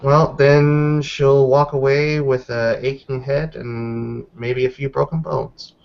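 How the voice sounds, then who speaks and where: A confident, combative werewolf woman, played by a man at the game table in an everyday mid-pitched voice. The delivery is challenging and martial, and the recording is slightly dull and lo-fi. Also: flat delivery, slow, creaky. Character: martial, cocky female werewolf spoiling for a fight.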